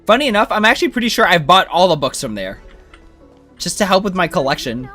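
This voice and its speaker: A man's voice: sits high, big pitch swings, fast talker, pushed and bright, soft background music. A man giving casual, informal gameplay commentary as a streamer, in a rambling way. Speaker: game streamer.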